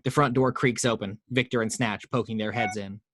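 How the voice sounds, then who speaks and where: A man's voice: lowish voice, steady tone, a little fast, a bit bright, a little hiss or hum. Man reading screenplay action lines.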